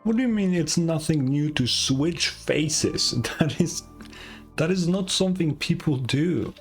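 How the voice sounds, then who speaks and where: A woman's voice: low voice, animated, unhurried, darkish tone. A tired woman giving casual, musing reaction commentary as a game streamer. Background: game music.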